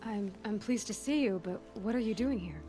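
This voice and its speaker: A woman's voice: medium pitch, moderate pace, music in the background, lightly acted. A woman acting a resolute, caring young heroine in a video game, earnest with a dry edge. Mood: gentle.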